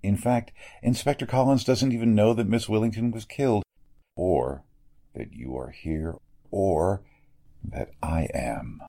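An actor playing a sinister, courteous elderly doctor in a 1940s-style radio mystery, speaking formally and deliberately with a husky voice. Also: male voice, lowish voice, warm tone. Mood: coldly menacing. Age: older. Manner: formal, deliberate, menacingly polite.

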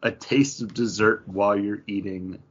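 Male American podcast co-host, lower register, a bit rough-edged, casual and wandering delivery. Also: steady tone, moderate pace. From western New York, United States. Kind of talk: casual conversational chat. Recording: dull, lo-fi audio.

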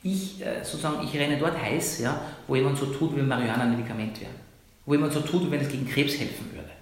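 A man from Iran who is a doctor, arguing emphatically as an interview guest, often ending points with a quick tag question. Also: medium pitch. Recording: very echoey room.

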